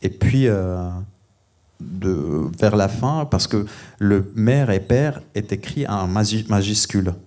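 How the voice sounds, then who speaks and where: A man's voice: lowish voice, animated, slow, mellow. A man from Greece with a Greek accent, an author speaking at a library panel, hesitant with many fillers and restarts. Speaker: guest author. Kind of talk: panel discussion at a library event. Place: library event room.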